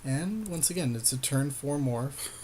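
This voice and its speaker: A man's voice: medium pitch, animated, unhurried, darkish tone, breathy edge. A man with a wry, joking, slangy way of talking, co-hosting casual gameplay commentary alongside a friend. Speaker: podcast co-host playing an online card game.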